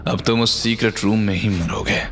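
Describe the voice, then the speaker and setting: Man playing a slow, gloating sinister villain. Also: lowish voice, fairly even intonation, vocal fry, a bit bright. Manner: slow, drawn-out, menacing delivery. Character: sinister middle-aged male villain, gloating and calculating. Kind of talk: Hindi audio drama villain.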